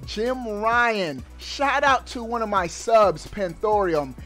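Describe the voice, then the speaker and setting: High-pitched, emphatic man over music. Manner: emphatic, animated delivery with drawn-out words, slangy. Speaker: gaming YouTuber. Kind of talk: excited gaming news commentary. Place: indoors at a streaming setup. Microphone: headset mic.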